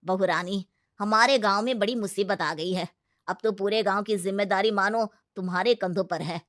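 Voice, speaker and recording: A woman voicing a blunt but respectful male village council elder in a comedy story, earnest and gently mocking. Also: medium pitch, normal speed, dry recording, clean studio sound, lightly acted.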